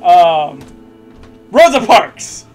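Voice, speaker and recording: A man with a notably high voice reads aloud in a mocking, sarcastic way. He drops into casual banter and pronounces the punctuation as he goes. Music plays faintly behind him. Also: very animated, drawn-out words. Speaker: host reading fan fiction aloud.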